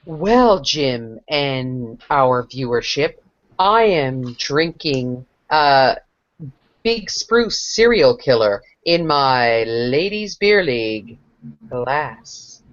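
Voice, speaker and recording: A woman with a Newfoundland accent chats playfully on a live video call, her pitch swinging widely. The webcam sound is dull and lo-fi. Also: extremely slow. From Newfoundland, Canada. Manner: animated, laughing, playful. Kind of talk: casual chat.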